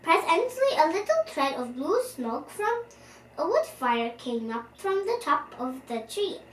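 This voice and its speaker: A child reading a storybook aloud for young listeners as host, in an expressive, playful manner. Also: very high-pitched, a little slow. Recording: roomy, distant mic, faint noise.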